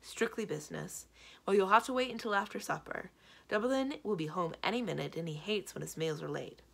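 A woman voicing a bossy, practical giantess wife in a storybook, brisk and scolding in manner. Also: fairly low pitch, animated, gravelly, fairly bright tone, exaggerated voice.

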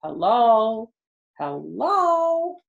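A woman imitating a demanding talking pet cat, mewing out short drawn-out words. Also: on the high side, sing-song, vocal fry, highs cut off, pitched above the performer's own voice, heavily acted.

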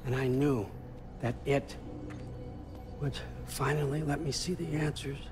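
A man playing a sly, grandfatherly one-eyed god-king in a video game, with a folksy, theatrical storyteller's delivery over loud music. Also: medium pitch, steady tone, measured pace, close to an everyday voice. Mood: grave, confiding. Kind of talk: video game character acting.

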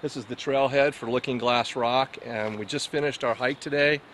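A man's voice: mid-range voice, steady tone, moderate pace, a bit bright. Laid-back American man, faint outdoor noise. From Florida, United States. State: tired after a hike. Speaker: outdoor vlogger. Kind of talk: casual talk to camera. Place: outdoors at a forest trailhead. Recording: outdoor camera audio with ambient noise.

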